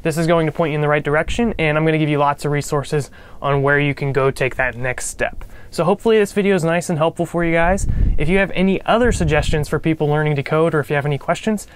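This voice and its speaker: A man's voice: a little high, quick pace, slight background noise. A young man with a nasal voice from a cold, hosting a casual coding tutorial with upbeat talk and joking asides. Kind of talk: casual instructional talk to camera.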